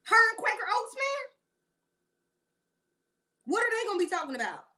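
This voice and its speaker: A woman's voice: very high-pitched, big pitch swings, normal speed, bright, forceful tone. A woman in her late thirties giving blunt, sassy celebrity gossip commentary in a rambling rant. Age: 30s.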